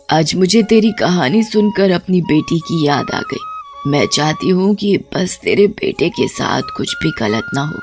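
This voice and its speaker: A woman voicing a witch ghost, gruff but tender underneath, in an animated story. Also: sits low, full character voice. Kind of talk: animated Hindi horror-moral story.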